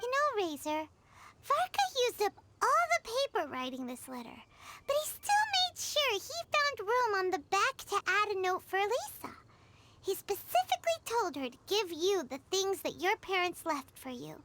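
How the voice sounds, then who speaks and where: A woman's voice: very high-pitched, big pitch swings, normal speed, background noise. A woman voicing a small, cheerful, talkative childlike fairy companion in a video game. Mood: earnest. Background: music.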